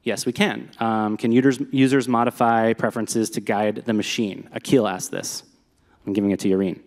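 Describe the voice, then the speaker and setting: A man's voice: lowish voice, fairly even intonation, moderate pace, darkish tone. A man giving a live presentation to an audience, talking in an upbeat, conversational way with some fillers. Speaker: presenter at a company meetup. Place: large echoey hall with a live audience. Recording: long hall reverb.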